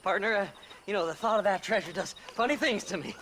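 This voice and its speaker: High, manic American frontier treasure hunter, a man acting. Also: quick pace, background noise, close to an everyday voice. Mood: shifty, sheepish. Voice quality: high, sharp, cackling voice. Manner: twitchy, erratic, folksy drawl. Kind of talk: video game cutscene acting.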